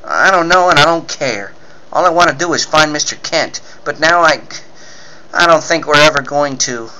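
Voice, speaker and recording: A man's voice: a little high, normal speed, bright, forceful tone, poor audio. A man voicing an earnest, pleading boy cub reporter in the manner of a 1940s radio serial. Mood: dejected. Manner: gushing, pleading exclamations.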